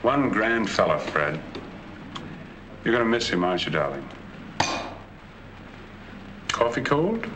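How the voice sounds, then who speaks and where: A calm, softly spoken husband, very deep yet moving widely in pitch. Steady hiss sits under the old film dialogue. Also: male voice, slow, pauses often, exaggerated voice, poor audio. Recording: hissy 1940s film soundtrack.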